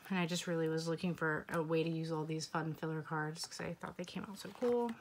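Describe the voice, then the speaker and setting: Young woman, slightly hoarse, lower voice; relaxed chatty vlog narration. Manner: relaxed, chatty, with fillers and occasional whispered asides. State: slightly hoarse, losing her voice. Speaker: scrapbooking vlogger. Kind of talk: casual walkthrough narration.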